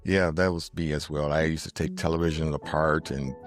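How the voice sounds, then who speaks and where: A middle-aged man hosting a podcast, talking in a relaxed, easygoing way with a deep bass voice and easy chuckles. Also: brisk, soft background music. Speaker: podcast host. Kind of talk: casual interview chat.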